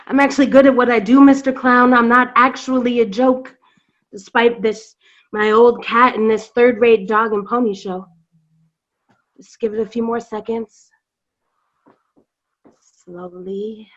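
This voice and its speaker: A woman's voice: fairly high pitch, fairly even intonation, measured pace, long pauses. Woman as exasperated circus lion tamer, dull audio. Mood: proud, irritated, then tense, stunned. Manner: blunt, sarcastic. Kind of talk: staged reading of a play. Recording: dull video-call audio.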